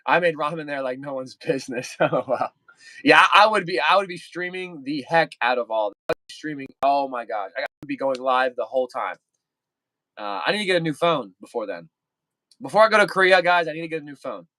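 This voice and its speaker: A man's voice: a little high, lively intonation, brisk, sharp tone, no echo, poor audio. A young man chatting casually with viewers on a livestream, rambling and repeating himself with lots of fillers.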